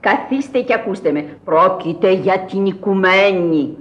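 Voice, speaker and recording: A grand, eccentric countess acted by a woman speaks at a medium pitch with very animated swings. She is imperious and earnest, in theatrical radio-play style. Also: lo-fi sound, close to an everyday voice. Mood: commanding.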